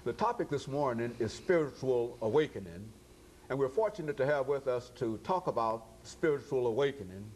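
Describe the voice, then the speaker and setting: A man's voice: mid-range voice, lively intonation, normal speed, darkish tone, a little hiss or hum, low-quality recording. A man hosting an interview show, introducing his guest in a formal way with frequent hesitations. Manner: formal, hesitant, many uh fillers. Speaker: talk show host.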